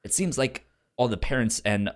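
Halting, tentative young man, mid-pitched. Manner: tentative, halting, repeats syllables. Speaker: podcast co-host. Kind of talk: casual film discussion.

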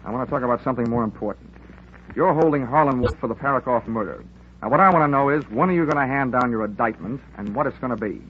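An actor playing a world-weary, hard-boiled insurance investigator in a 1950s radio drama, with wry, sardonic, slangy delivery. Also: male voice, medium pitch, fast, lightly acted. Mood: brash, impatient. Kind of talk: 1950s radio drama acting with first-person narration. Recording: muffled, noisy vintage radio recording.

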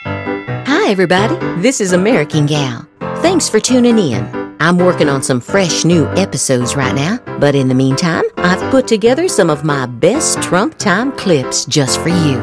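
A woman's voice: on the low side, big pitch swings, normal speed. A woman podcast host giving a theatrical, emphatic reading of social media posts. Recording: loud music bed.

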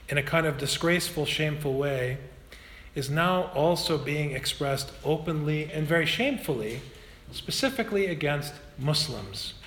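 A man's voice: on the high side, a bit bright. A man speaking as a parish priest, introducing a guest speaker with earnest passion and sudden shifts in pace. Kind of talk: talk introduction. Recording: echoey hall recording with faint hum.

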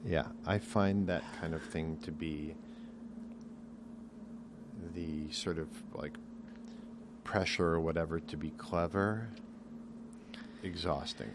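A man hosting a podcast interview, asking relaxed, rambling questions. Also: sits low, takes it slowly, long pauses, background noise. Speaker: podcast host. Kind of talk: casual interview chat.

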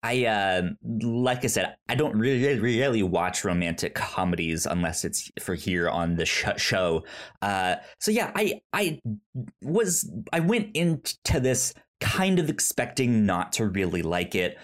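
A man hosting a casual film review podcast, talking haltingly and often stammering over the start of words. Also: fairly low pitch, sing-song. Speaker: podcast host.

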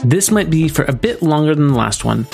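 A man's voice: a little high, animated, a little fast, vocal fry, a little mellow. Male podcast host with faint music. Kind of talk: casual podcast hosting.

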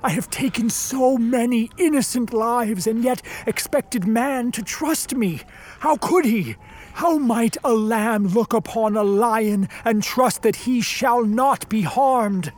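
A man's voice: extremely high pitch, slow. A man playing a remorseful created monster in a radio play, pleading in formal, eloquent, solemn speech.

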